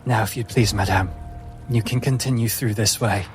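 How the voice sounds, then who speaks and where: A man's voice: fairly low pitch, fairly even intonation, normal speed, faint music behind, pitched below the performer's own voice, close to an everyday voice. A man playing a proud beastkin woman who speaks in a formal, curt way. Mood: guarded. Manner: formal, deferential on the surface, curt.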